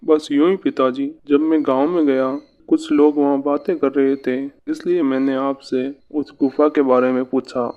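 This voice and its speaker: A man playing an earnest, brave and curious young village son in a cartoon fairy tale, with deliberate storybook delivery. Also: mid-range voice, level tone, muffled recording, lightly acted.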